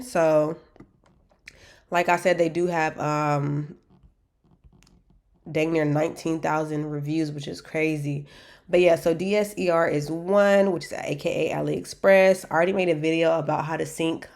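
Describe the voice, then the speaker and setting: A woman talks in a loose, chatty way, full of "um"s and restarts. Her nose is stuffed up, so her voice sounds a little blocked. Her pitch sits slightly low for a woman. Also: vocal fry, a little mellow. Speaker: dropshipping store maker reviewing vendors. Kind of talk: casual screen-share walkthrough.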